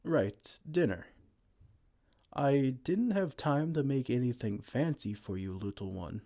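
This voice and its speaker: A man voicing a kind, gentle male giant who cares for a tiny human, speaking softly and soothingly in an ASMR roleplay. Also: medium pitch, expressive, slow. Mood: tender. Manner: soft-spoken, soothing, tender pet names.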